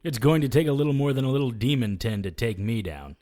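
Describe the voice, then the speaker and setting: An actor playing a cocky, sarcastic hardboiled supernatural detective, narrating and trading defiant lines in a noir audio drama. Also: male voice, medium pitch, lively intonation, fast, mellow, lightly acted. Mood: cocky taunting.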